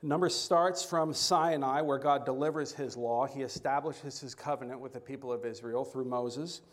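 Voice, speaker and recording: Guy giving a sermon, keeps restarting his words, mid-pitched voice. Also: steady tone. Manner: halting, repeats words, self-deprecating asides. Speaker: guest preacher.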